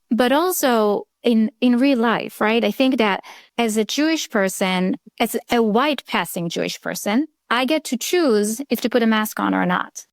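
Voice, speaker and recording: A woman's voice: medium pitch, no echo, clean studio sound. A woman psychologist from Israel with an Israeli accent, speaking in an earnest way and searching for words. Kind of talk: conversational interview.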